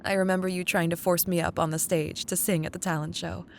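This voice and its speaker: A woman playing a caring, wistful young childhood best friend in an intimate, gently teasing ASMR roleplay. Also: flat delivery, gravelly. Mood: giggly nostalgia. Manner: tender, unhurried, teasing. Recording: audible low rumbling background noise.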